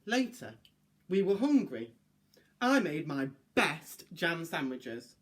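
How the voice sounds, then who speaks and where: Casting: male children's storyteller, very expressive melody, measured delivery with explanatory asides. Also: fairly high pitch, breathy edge. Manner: explanatory, asks listeners questions. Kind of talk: children's story read-aloud with asides to listeners.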